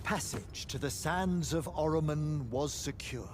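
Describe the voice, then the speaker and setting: An actor playing a solemn, declamatory stage orator reciting an epic war history in a game. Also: male voice, a little high, unhurried, slight background noise, close to an everyday voice. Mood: solemn, grandiose. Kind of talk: theatrical recitation.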